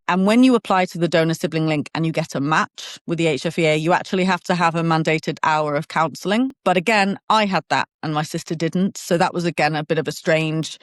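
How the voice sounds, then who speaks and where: A woman's voice: sits low, dry recording, clean studio sound. Southern English woman, chatty and laughing. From England. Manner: animated, laughs often, quick restarts. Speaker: podcast guest. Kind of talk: conversational interview.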